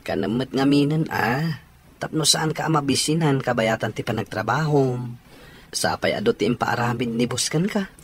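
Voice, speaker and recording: A man's voice: mid-range voice, normal speed, a little hiss or hum, close to an everyday voice. An actor playing an attentive, good-natured man in an Ilocano radio comedy drama. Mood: caring.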